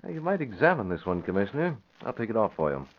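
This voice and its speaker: An American actor playing a confident, polite young playboy hero in a 1940s radio drama. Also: male voice, on the low side, sing-song, quick pace. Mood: coolly confident. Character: cool, confident young playboy, secretly a masked crimefighter. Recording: dull vintage radio recording.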